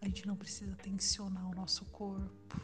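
A Brazilian woman guiding a relaxation meditation in a soft, soothing way, with long pauses and breathy phrase endings. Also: medium pitch, completely flat delivery. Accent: Brazilian Portuguese. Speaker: meditation guide. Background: background music.

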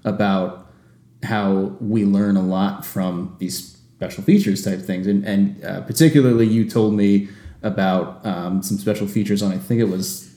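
A man's voice: lowish voice, fairly even intonation, unhurried, mellow, echoey room. A man hosting a podcast, chatting casually and joking, often laughing as he rambles. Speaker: podcast host.

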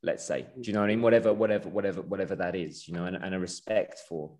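Earnest low-voiced male podcast host. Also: fairly even intonation, rapid-fire, a little mellow. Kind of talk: casual podcast interview.

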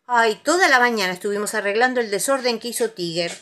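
A woman's voice: a little high, fast talker, a bit bright, voice pushed up, close to an everyday voice. A woman voicing a careful, reproving mother kangaroo in a children's storybook.

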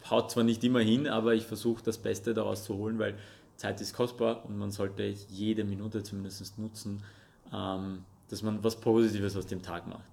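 A man's voice: on the low side, level tone, moderate pace, vocal fry, a little mellow. A young man from Russia, raised speaking Russian and Armenian, earnestly chatting as a podcast guest with frequent hesitations. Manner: earnest, talks with hesitant ums. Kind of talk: casual interview chat.

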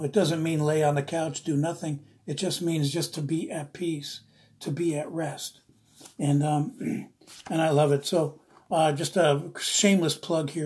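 Husky-voiced preacher from the US East Coast. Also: medium pitch, darkish tone. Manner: earnest, rambling, repeats phrases for emphasis. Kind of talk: informal devotional Bible teaching.

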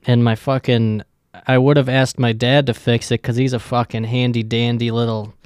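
A man's voice: mid-range voice, little pitch movement, normal speed, darkish tone, smooth, no echo, studio quality. A young man hosting his own podcast, talking in a loose, joking and rambling way, with lots of swearing. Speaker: podcast host. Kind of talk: casual rambling monologue.

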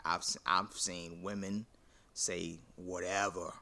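A man's voice: sits low, flat delivery, slow, creaky, bright, forceful tone. A man arguing his point in a heated casual conversation, blunt and profane.

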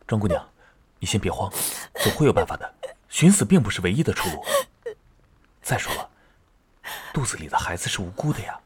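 A man playing a gentle, caring village schoolteacher who pleads earnestly in a Chinese period audio drama. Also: on the low side, big pitch swings, a little fast, long pauses, husky, exaggerated voice. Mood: reassuring.

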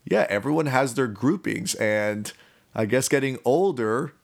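A man's voice: medium pitch, measured pace, vocal fry. A young adult man speaks in a mid-range voice. He talks casually and personally, rambling a little as he feels his way through each thought with hesitant restarts. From Miami, United States. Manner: rambling, hesitant, thinking aloud. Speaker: speaker telling about own life.

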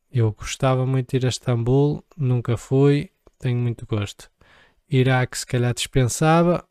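Joking young Portuguese man, mellow voice. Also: mid-range voice, smooth, no room sound, studio quality. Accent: European Portuguese. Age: young adult. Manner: joking, rambling, playful asides. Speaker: vlogger. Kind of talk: casual chat to camera.

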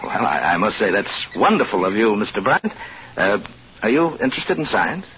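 An actor playing a mild, chatty research scientist, earnest and a little hesitant, in old-time radio drama style. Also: male voice, on the low side, very animated, normal speed. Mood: earnest, flustered. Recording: muffled, faintly noisy old radio recording.

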